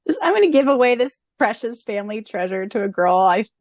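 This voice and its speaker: A woman's voice: fairly high pitch, expressive, a little fast, a little mellow, dry recording. Giggly woman reading podcast questions. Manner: giggly, reads answers aloud. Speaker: podcast co-host. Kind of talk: casual chat reading listener questions.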